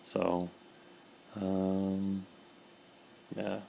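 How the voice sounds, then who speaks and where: A man talking casually in a personal video diary, halting with fillers and repeated words, his mouth dry. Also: sits low, monotone, creaky, dark tone, slight background noise, poor audio. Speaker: vlogger documenting his illness.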